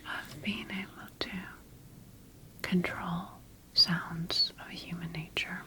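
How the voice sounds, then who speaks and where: A woman whispering breathily in an ASMR sci-fi roleplay as a scientist studying her creation, speaking deliberately with pauses. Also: fairly low pitch, steady tone. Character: quietly rogue female scientist studying her engineered creation. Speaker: ASMR performer.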